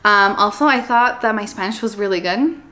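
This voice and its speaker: A woman's voice: medium pitch, moderate pace, pushed and bright. A young woman from Los Angeles, chatting casually to the camera at home, rambling and bubbly with lots of fillers. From Los Angeles, USA. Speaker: vlogger. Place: her home, indoors. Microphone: phone or camera on a stand.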